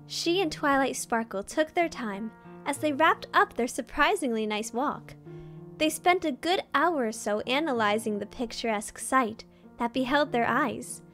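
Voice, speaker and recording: A woman's voice: sits high, fairly bright tone. A woman reading a fan fiction story aloud as narrator, in a scripted storytelling style.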